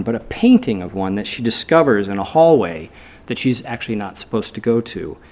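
A man's voice: fairly low pitch, a little mellow. A man in his thirties or forties giving a prepared but casual speech to introduce a guest author. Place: wood-panelled lecture room, at a lectern. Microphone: stand microphones at a lectern.

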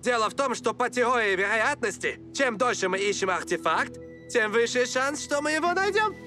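A man's voice: very high-pitched, expressive, normal speed, bright, forceful tone, soft background music, heavily acted. A man voicing a nervous, deferential drilling underling in a dubbed cartoon, hedging and over-explaining.

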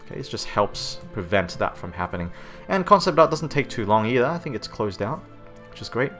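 A man's voice: medium pitch, big pitch swings, a little fast. A man with a stuffy hay-fever voice, talking through a game-art tutorial while he works, rambling and correcting himself often. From Australia. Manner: rambling, self-correcting, frequent "okay?" and "um". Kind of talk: screen-recorded tutorial narration. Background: soft background music.

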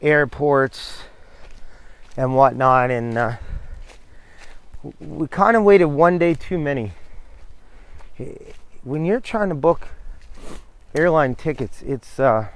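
A man's voice: on the high side, animated, takes it slowly, long pauses, clear, resonant tone, dry recording. A middle-aged man chatting casually while walking through windy woods, rambling and interrupting himself as he talks into a handheld camera. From New Brunswick, Canada. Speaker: vlogger. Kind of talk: casual hiking vlog chat. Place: outdoors in windy coastal woods.

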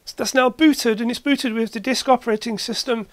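Halting hobbyist demonstrating gear hands-on. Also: mid-range voice, steady tone, dry recording. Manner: halting, matter-of-fact, thinking aloud while working. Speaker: host, retro computer hobbyist. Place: indoor cluttered workshop.

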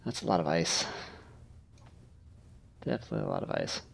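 A man giving casual gameplay commentary as host, with a halting, hesitant delivery. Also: very deep voice, wildly swooping pitch, gravelly. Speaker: gaming video host.

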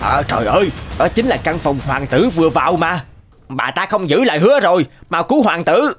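An odd, loyal cartoon henchman, talks in a somewhat high male voice. He is good-natured, eager and exclamatory, with light music behind. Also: sing-song, a little fast. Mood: alarmed, eager. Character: odd loyal fairy-tale servants with strange powers, good-natured, eager. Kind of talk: animated fairy-tale dubbing.

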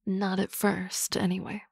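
A woman playing a teasing, confident office boss in a soft, close, whispered ASMR roleplay. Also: medium pitch, monotone, takes it slowly, creaky, slightly rough, dry recording.

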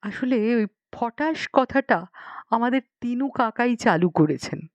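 A woman's voice: a little high, lively intonation, slow, no echo, dull audio. A woman narrating a story expressively, with a dramatic storytelling manner. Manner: dramatic storytelling with acted dialogue. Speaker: audiobook narrator.

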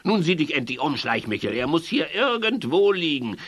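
A theatrical children's audio villain, grumpy and gloating. His voice is high and piercingly bright. It swoops wildly from low to high as he schemes. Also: very animated, moderate pace, slight background noise. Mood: impatient. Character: gleeful grumpy villain, hates children and colors. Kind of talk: children's audio drama acting.

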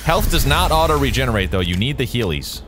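A man's voice: mid-range voice, big pitch swings, fast talker, background noise. An American man giving casual, jokey gameplay commentary, slangy and talking back to the game. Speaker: gaming video host. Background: game music and vehicle sounds.

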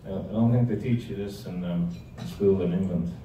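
An older man with an Irish accent gives an informal, humorous slide lecture. His voice is dark and relaxed, wandering drily through his anecdotes. It is captured at some distance in a strongly reverberant room with faint noise. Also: sits low, normal speed. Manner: rambling, wry storytelling. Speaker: club talk speaker. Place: indoor hall with projection screen. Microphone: handheld or stand microphone, recorded from audience camera. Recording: echoey room, distant mic, noisy background.

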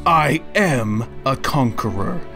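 A man giving a pompous, theatrical dramatic reading of a written rant, slowly and deliberately. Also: mid-range voice, animated, vocal fry, sharp tone, faint music behind. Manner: pompous, slow, theatrical delivery.

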